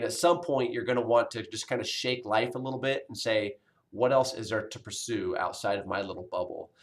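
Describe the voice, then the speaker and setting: Rambling forties man addressing his students. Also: mid-range voice. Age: 40s. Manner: rambling, conversational, many fillers and restarts. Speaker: college health instructor. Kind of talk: casual recorded video message to students.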